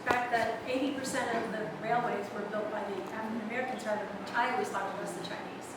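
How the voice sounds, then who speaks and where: A woman's voice: fairly high pitch, steady tone, fast. Lady in the audience asking a question, far off mic, echoey, noisy. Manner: searching, hesitant phrasing. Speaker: audience member. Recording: distant, roomy, noisy hall.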